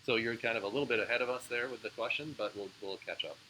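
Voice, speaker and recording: A man's voice: medium pitch, fast talker, pushed and bright, a little hiss or hum, poor audio. A man giving a live university lecture, explaining in a casual way with frequent fillers and answering student questions. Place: lecture room.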